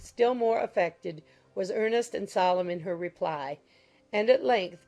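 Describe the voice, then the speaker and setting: Measured woman narrating a classic novel. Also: mid-range voice, creaky. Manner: measured, literary storytelling. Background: soft music.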